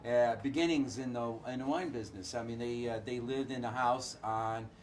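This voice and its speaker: A hoarse middle-aged man, a winemaker, talking casually and haltingly with many filler uhs. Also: medium pitch. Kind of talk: casual chat.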